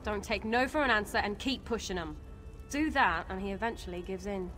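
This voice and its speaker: A woman's voice: medium pitch, brisk, fairly bright tone, soft background music, close to an everyday voice. A woman playing a proud, hostile elf guard in a fantasy video game, curt and threatening. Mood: grudging respect.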